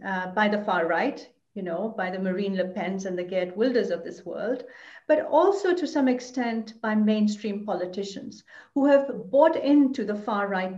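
A woman's voice: mid-range voice, darkish tone. Woman commentator, roomy dull audio. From Belgium. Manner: thoughtful, many fillers and restarts. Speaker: EU commentator, interview guest. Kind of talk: conversational interview answers.

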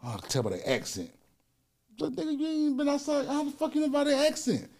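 A middle-aged man chatting casually as a podcast host, telling animated stories and laughing often. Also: extremely high pitch, fast, creaky. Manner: animated storyteller, loud bursts when quoting himself, frequent laughter. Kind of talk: casual chat, storytelling.

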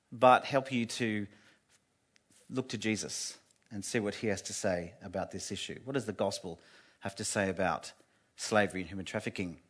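A man with a loud voice, preaching an earnest sermon in a church and dropping to a hush on solemn points. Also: medium pitch. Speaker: guest preacher from an anti-slavery group.

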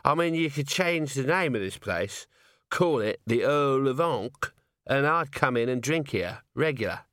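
A man voicing a drunk, swaying male watchman who boasts of his noble ancestry, in slurred, drawn-out speech. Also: mid-range voice, lively intonation, a bit bright, dry recording, clean studio sound, voice pushed up. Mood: drunk boasting. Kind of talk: audiobook character voice.

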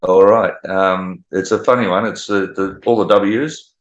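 A man's voice: low voice, fairly even intonation, a little slow, roomy sound, dull audio. A man, an author guest on a radio chat, talking earnestly. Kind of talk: casual radio interview.

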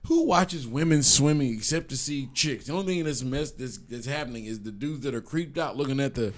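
A man's voice: mid-range voice, fairly quick, crowd noise. An American man on a podcast panel, joking brashly and swearing freely in casual banter.

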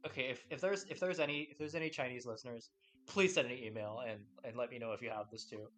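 A man's voice: medium pitch, fast, fairly bright tone, a little hiss or hum. A man hosting a podcast in a casual chat, rambling and playful with lots of restarts. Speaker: podcast host.

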